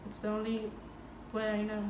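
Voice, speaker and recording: Flat-voiced young woman, echoey. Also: medium pitch, normal speed. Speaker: interviewee. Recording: echoey with background noise.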